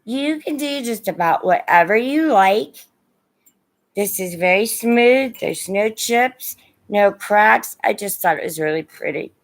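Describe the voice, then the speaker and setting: A woman's voice: mid-range voice, animated, a little slow. A middle-aged or older woman from Indiana with a Midwestern American accent, chatting casually as she sells vintage pieces on a livestream and addresses viewers with endearments. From Indiana, United States. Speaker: live online seller of vintage and antiques. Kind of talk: casual livestream sales chat.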